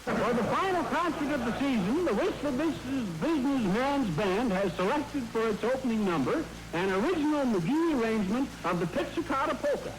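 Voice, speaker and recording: An actor playing a grandiloquent amateur bandleader, announcing pompously in 1940s radio comedy. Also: male voice, very high-pitched, lively intonation, a little fast. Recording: noisy vintage radio broadcast, rain over it.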